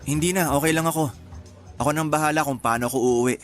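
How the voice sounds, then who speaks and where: A man's voice: on the high side, quick pace, music in the background, lightly acted. An actor with a Filipino accent playing an apologetic, lovestruck young man pleading in a radio drama. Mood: resigned, sorry.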